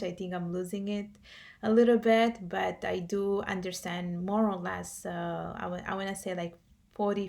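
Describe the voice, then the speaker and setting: A woman's voice: fairly low pitch, creaky. A young woman who grew up in Italy, talking casually to camera as a vlogger, with many fillers and restarts.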